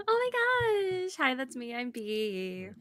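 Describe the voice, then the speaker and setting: A woman's voice: sits high, wildly swooping pitch, takes it slowly, a bit bright, no room sound. A woman co-hosting a livestream, chatting casually. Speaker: co-host. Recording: some background noise.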